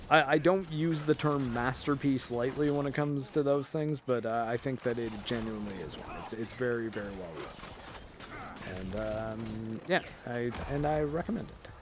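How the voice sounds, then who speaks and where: A man hosting a video, giving casual, rambling film and TV commentary in an informal, emphatic way, often halting mid-thought. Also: medium pitch, a little hiss or hum. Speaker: video host.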